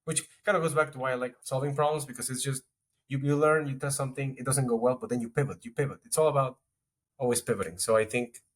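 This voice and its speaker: A man speaking fast in a relaxed interview, circling back on his thoughts with many restarts and filler words. His voice sits at a middle pitch and he sounds like a guest chatting into a podcast mic. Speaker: podcast guest, strategy executive. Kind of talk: casual interview talk.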